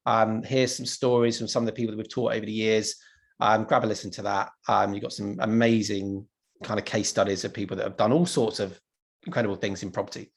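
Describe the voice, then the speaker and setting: A man from London giving an online property presentation in a relaxed, conversational way, with lots of ums and restarts. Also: on the low side, fairly quick, vocal fry. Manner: casual, many ums and restarts.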